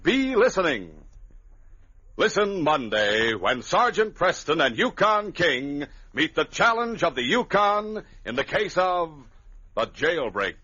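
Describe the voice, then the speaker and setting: A man announcing an old-time radio serial and its sponsor pitches in a dramatic, punchy, hearty way. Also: sing-song, slow, pushed and bright, husky. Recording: dull lo-fi vintage radio recording.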